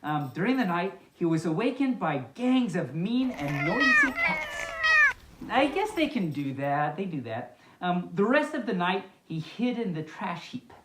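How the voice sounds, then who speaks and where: Animated woman reading a storybook, echoey room. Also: mid-range voice, slight background noise. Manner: playful, chatty, halting rambling commentary. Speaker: storyteller, host. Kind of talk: reading a picture book aloud with casual asides. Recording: echoey, reverberant room sound.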